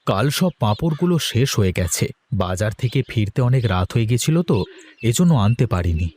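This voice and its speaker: A man's voice: lowish voice, sing-song, a little fast, darkish tone, a little hiss or hum. A man playing an easygoing, chatty village street-food seller in a children's cartoon, with a folksy, conversational manner. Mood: earnest, placating. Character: village fuchka-selling husband, easygoing, chatty, hopeful. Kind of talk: Bengali children's cartoon dubbing.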